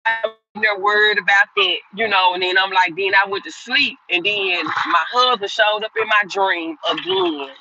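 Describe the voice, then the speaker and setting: Sharp-voiced woman in her fifties, rambling. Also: medium pitch, normal speed, dull audio, a little hiss or hum. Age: 50s. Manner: rambling and repetitive, talking straight to viewers. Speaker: livestream host. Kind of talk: casual live chat, answering viewer comments.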